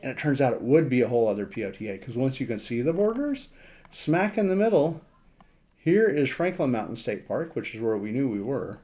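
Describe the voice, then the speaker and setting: A man with a relaxed, mellow voice at a middling pitch presents a casual screen walkthrough. He thinks aloud as he goes, in a halting, conversational way. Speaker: amateur radio operator presenting a map demo.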